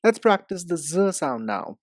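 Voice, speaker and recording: Slow-talking Indian man teaching pronunciation. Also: high-pitched, very animated, no room sound, clean studio sound. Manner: deliberate, carefully enunciated. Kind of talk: instructional lesson with repeat-after-me drills.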